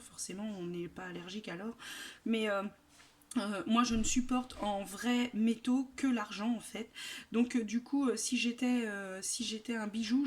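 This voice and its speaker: Female, 30s, everyday vlogger. Loose, conversational delivery that circles back and repeats, medium pitch. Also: a little hiss or hum. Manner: informal, rambling, repeats herself. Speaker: YouTube creator. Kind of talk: casual vlog chat, answering a tag questionnaire.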